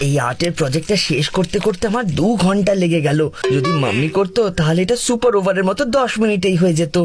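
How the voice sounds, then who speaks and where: An actor voicing an excitable, complaining schoolchild in a cartoon dub, whiny and dramatic. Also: mid-range voice, fast talker, close to an everyday voice. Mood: self-satisfied. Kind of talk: cartoon dubbing. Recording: loud music behind.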